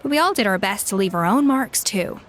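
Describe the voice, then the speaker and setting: A woman's voice: mid-range voice, animated, brisk, close to an everyday voice. A woman voicing an earnest, eager young culinary enthusiast in an anime game, with music behind her. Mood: modest.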